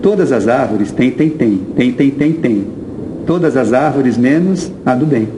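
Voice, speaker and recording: A man's voice: medium pitch, lively intonation, a little slow, noisy background. A Brazilian man reciting poetry, with instrumental music behind him.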